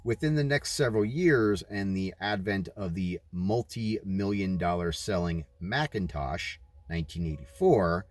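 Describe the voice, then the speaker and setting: A man with a fairly low voice narrates a religious book. His phrasing is deliberate and emphatic, with conversational asides added to the reading. The sound is close and dry. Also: expressive, no room sound. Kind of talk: read-aloud narration with informal commentary.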